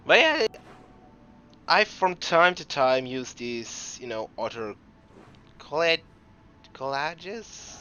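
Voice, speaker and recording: A man who is not a native English speaker, giving casual, rambling let's play commentary, often interrupting himself. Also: medium pitch. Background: video game music and sounds.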